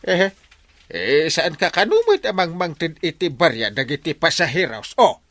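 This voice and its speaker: A man with an Ilocano accent playing an excitable, blustering village captain full of exclamations in a comedy radio drama. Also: on the high side. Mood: scolding. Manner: exclamatory, full of drawn-out interjections. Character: excitable comic male village captain, blustering and easily swayed.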